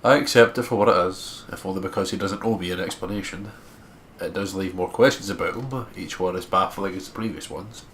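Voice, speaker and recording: A man's voice: low voice, brisk, a bit bright, a little hiss or hum. A man with a congested nose narrates a visual novel and adds casual commentary as a co-host. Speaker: let's play co-host reading visual novel aloud.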